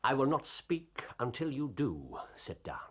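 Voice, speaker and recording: An actor playing a commanding, incisive detective in a period drama, with clipped, authoritative speech. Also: male voice, mid-range voice, sing-song, measured pace, a bit bright, slightly rough, exaggerated voice. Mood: stern, insistent.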